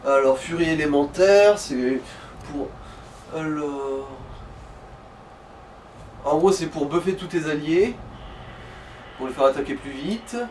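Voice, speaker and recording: A man's voice: on the high side, slow, long pauses, darkish tone. A man giving casual, slangy commentary on a video game, explaining things as he goes. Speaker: co-commentator of a let's play. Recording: noisy room, distant microphone.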